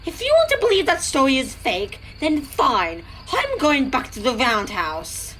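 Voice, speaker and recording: A woman's voice: high voice, wildly swooping pitch, unhurried, fairly bright tone, a little hiss or hum, exaggerated voice, rough recording. A woman playing a sensible, frank female locomotive with a firm, reproving manner in an audio drama. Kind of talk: fan audio drama acting.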